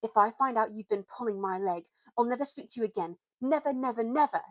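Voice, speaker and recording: A woman's voice: a little high, fairly quick, lo-fi sound, pitched above the performer's own voice, lightly acted. A woman voicing a spirited, skeptical schoolgirl in a story reading, with a blunt, questioning manner and old-fashioned slang. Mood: fierce.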